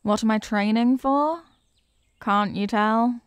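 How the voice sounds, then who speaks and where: A young apprentice witch, played by a woman, chats to the listener in an ASMR roleplay. Her voice is on the high side, eager and expressive, with a kindly, teasing manner. It is recorded close and dry, with no room around it. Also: slow pace, smooth, no echo. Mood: earnest, indignant. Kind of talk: ASMR character roleplay, scripted.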